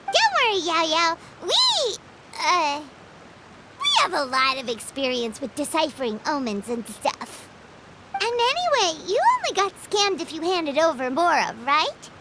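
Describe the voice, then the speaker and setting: A woman voicing a tiny, chirpy, carefree fairy-like companion in a video game, with a squeaky, childish manner. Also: sing-song, unhurried, heavy background noise, full character voice. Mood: reassuring, awkward. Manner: squeaky, childish, refers to self in third person. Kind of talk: video game character acting. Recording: loud music behind the voice.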